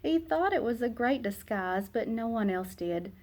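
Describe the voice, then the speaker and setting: A woman narrating a children's picture book aloud in an expressive, dramatic way, with emphatic pauses and hushed phrase endings. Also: on the high side. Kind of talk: expressive storybook read-aloud.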